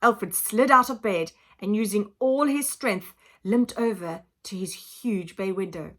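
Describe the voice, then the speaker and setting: A woman narrates a children's storybook at a medium pitch. She reads with dramatic emphasis and expressive phrasing, in the manner of a teacher reading aloud. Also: measured pace. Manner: dramatic, emphatic, acts out sound effects.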